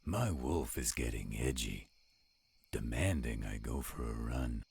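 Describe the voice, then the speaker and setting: Man voicing a rumbling, husky werewolf. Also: lively intonation, measured pace, darkish tone, no room sound, heavily acted. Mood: gruff, restless. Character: gruff, edgy male werewolf, protective of companions. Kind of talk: audio roleplay acting.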